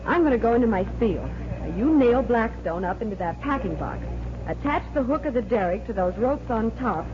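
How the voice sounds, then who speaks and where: An actress playing a plucky, excitable female magician's assistant in 1940s radio acting style. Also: a little high, fairly quick, natural voice. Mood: brisk. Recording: muffled, noisy 1940s radio recording.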